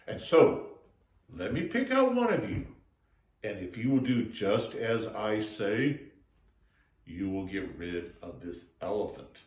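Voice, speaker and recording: Storyteller as wise old toad, deep and slow, calm, roomy echo. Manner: slow, deliberate, low and soothing.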